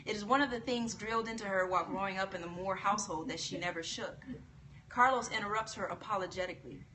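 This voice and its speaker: A woman's voice: medium pitch, fast talker, bright, forceful tone. A woman hosting a live-stream book club, reading a novel aloud and reacting to viewers' comments. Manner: reads a novel aloud, then breaks off to read out and laugh at viewer comments. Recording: distant mic with some background noise.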